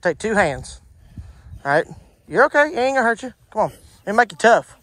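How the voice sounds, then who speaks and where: A man's voice: high voice, big pitch swings, brisk, fairly bright tone, no room sound. A man in his thirties with a Kentucky accent, patiently talking kids through fishing at a farm pond, recorded on a handheld phone outdoors. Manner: patient, encouraging, coaching kids step by step. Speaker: fishing vlogger, uncle. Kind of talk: casual outdoor vlog. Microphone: handheld phone camera.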